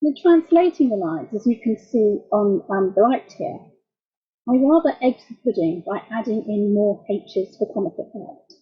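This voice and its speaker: A middle-aged woman lecturing as a translator, explaining jokes in a wry, measured way. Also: mid-range voice, expressive, husky, dull audio. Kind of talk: academic talk.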